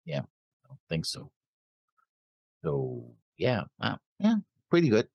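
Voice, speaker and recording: A man's voice: lowish voice, wildly swooping pitch, slow, breathy edge, dry recording, muffled recording. A man co-hosting an anime reaction show, laid-back and agreeable, talking with lots of fillers. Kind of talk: casual discussion.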